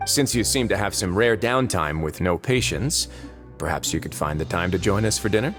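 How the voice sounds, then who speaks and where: Game role: composed male warden of a prison fortress, low voice, lively intonation, formal and dry. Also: faint music behind. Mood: dry, courteous. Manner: formal, unhurried, dry wit. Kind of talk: video game voice acting.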